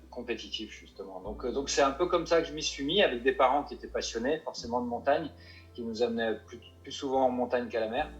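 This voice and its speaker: A mountain guide answering interview questions, hesitant and full of restarts and fillers. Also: male voice, mid-range voice, dull audio. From Paris suburbs.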